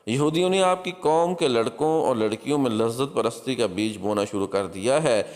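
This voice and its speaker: A man's voice: medium pitch, normal speed, a little mellow, lightly acted. A man voicing a captured crusader spymaster in a storybook tale, coolly defiant, with solemn, declamatory delivery. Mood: ominous, prophesying. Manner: solemn, declamatory, emphatic address. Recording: long hall-like reverb.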